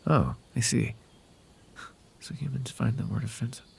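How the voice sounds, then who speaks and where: A man voicing a whimsical, softly possessive male fairy in an intimate, hesitant, conversational ASMR roleplay. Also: medium pitch, normal speed, vocal fry, breathy edge, heavily acted. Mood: gently curious.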